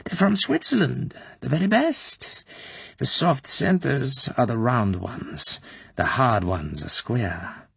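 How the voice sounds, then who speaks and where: A man voicing a cold, domineering older female secret police colonel who talks in a smooth, commanding, falsely sweet way. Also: medium pitch, lively intonation, unhurried, fairly bright tone, no echo, pitched above the performer's own voice, heavily acted.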